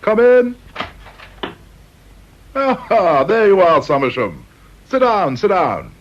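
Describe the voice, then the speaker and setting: A genial, fussy British board chairman in a radio drama. He speaks with lively pitch movement and deferential hesitations. The recording sounds dull and old. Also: slow, slight background noise, heavily acted. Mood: fussy, polite.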